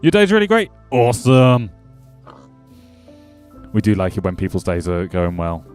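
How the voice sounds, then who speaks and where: A man's voice: lowish voice, huge pitch swings, moderate pace, darkish tone, clear, resonant tone, faint music behind. A man casually commenting on his gameplay as a streamer, chatting to his viewers and joking with the animals in the game. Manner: chatty, jokey, talks to chat and game animals.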